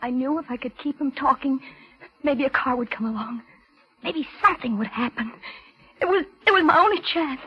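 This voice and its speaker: An actress playing a frightened, desperate young woman in a 1940s radio suspense drama, narrating and speaking in a halting, breathless way. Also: sits high, close to an everyday voice. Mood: tense, hushed dread. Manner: breathless, halting, repeats words. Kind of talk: 1940s radio suspense acting, first-person narration and dialogue. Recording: muffled old radio recording, music behind.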